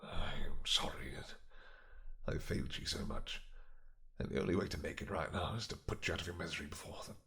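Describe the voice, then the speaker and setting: A man's voice: low-pitched, vocal fry, a bit bright. A man playing a desperate, deranged older father, grim and pleading, in a dramatic fantasy audio roleplay. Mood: anguished, remorseful.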